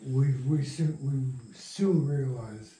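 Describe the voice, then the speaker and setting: Slow, halting older man in a roomy space. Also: medium pitch, dark tone. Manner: halting, rambling, self-interrupting. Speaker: interviewee, radio play writer and producer. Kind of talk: reminiscing in a casual interview. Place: room in a house. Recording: roomy echo, distant from mic.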